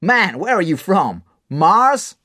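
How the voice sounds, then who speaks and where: A man voicing a rough but kind bar owner who talks in a brash, streetwise way in a storybook reading. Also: high-pitched, sing-song, slow, pushed and bright, pitched above the performer's own voice, exaggerated voice.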